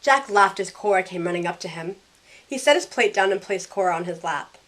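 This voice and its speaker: A woman's voice: fairly low pitch, lively intonation, fairly quick, a bit bright. A woman playing a sarcastic, self-questioning young woman who narrates her own story in an audio drama. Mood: bright, playful. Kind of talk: first-person audio drama narration and dialogue.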